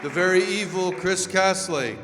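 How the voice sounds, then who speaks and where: A man's voice: sits high, measured pace, a crowd in the background. A man announcing the credits of a live radio drama in old-time announcer style. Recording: echoey hall, music and audience.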